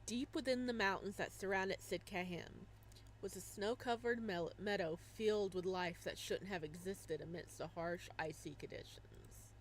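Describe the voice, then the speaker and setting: A young woman reading a visual novel aloud, breaking off into laughing, excited reactions between passages. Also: medium pitch, moderate pace, a little hiss or hum, rough recording. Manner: animated, laughing exclamations between narration.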